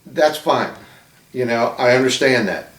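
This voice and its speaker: A man's voice: medium pitch, expressive, a little slow, a bit bright. Hobbyist man talking to camera in his apartment, loose and rambling, heard from a distance. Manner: rambling, many fillers and restarts. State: losing his voice, coughing. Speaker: model ship builder. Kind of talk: casual talk to camera. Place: small apartment room. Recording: echoey room from off-mic.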